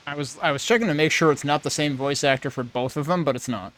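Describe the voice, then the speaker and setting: A man's voice: fairly high pitch, fast talker, a bit bright. A man giving casual, rambling playthrough commentary as he thinks aloud. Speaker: game streamer.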